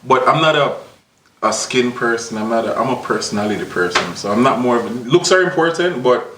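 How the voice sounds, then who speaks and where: A man's voice: medium pitch, lively intonation, brisk, recorded from a distance. A man hosting a casual talk show interview, teasing his guest with playful questions and laughing often. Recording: echoey room, off-mic, faint noise.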